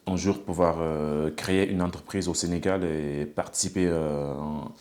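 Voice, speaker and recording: A man in his thirties from Senegal, an elevator technician, talks about his ambitions in a documentary interview. His voice is very deep, and he speaks haltingly, stumbling over small words as he goes. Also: steady tone, a little mellow. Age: 30s.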